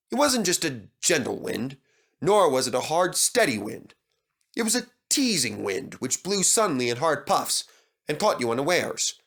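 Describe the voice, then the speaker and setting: Animated man narrating a children's story. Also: mid-range voice.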